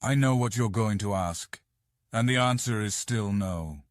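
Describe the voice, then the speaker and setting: Casting: male actor as stubborn protective fantasy brother, lowish voice, firm, guarded, dry studio sound. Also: a little slow, dry recording, natural voice. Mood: exasperated. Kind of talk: audiobook drama acting.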